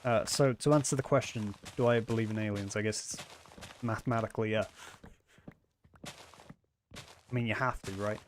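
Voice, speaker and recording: A man's voice: fairly low pitch, moderate pace, pauses often, slight background noise. A man chatting casually and joking with friends during a livestream. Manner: joking, animated storyteller. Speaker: livestream gamer. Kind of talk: casual chat.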